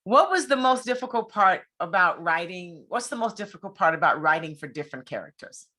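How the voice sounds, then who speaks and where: A woman's voice: lowish voice, lively intonation, a little fast, pushed and bright. A woman hosting a casual online interview, informal and encouraging as she asks her questions. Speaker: interview host.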